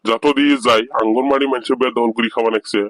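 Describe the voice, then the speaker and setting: A man's voice: medium pitch, fairly even intonation, quick pace, a bit bright, dry recording, close to an everyday voice. A man playing a villager in an amateur comedy skit, speaking a colloquial rural Bengali dialect in a rustic manner. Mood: puzzled. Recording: noisy amateur recording with clicks.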